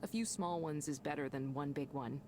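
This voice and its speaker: A woman acting as a workplace supervisor in a visual novel game, with a fairly low female voice. She is upbeat and chatty, giving brisk, reassuring corporate instructions. There is faint noise behind her. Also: a little mellow, natural voice. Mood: upbeat, instructive.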